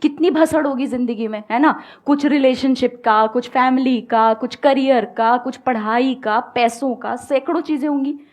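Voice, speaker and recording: Calm, coaxing young woman speaker on stage. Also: high-pitched, normal speed, hardly any pauses. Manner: calm, coaxing, uses everyday examples. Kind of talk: motivational talk and audience Q&A. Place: stage before a live audience.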